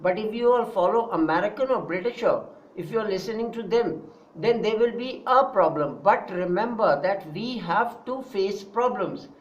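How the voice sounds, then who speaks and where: A man's voice: high-pitched, animated, moderate pace. A man with a Pakistani accent leads an online English lesson in an earnest, teacherly way, repeating his points and addressing his students directly. The recording sounds dull and lo-fi.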